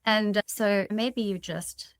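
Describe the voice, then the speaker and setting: A woman's voice: medium pitch, steady tone, slow pace. A woman hosting a podcast in a conversational, reflective way, explaining her thoughts to listeners. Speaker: podcast host.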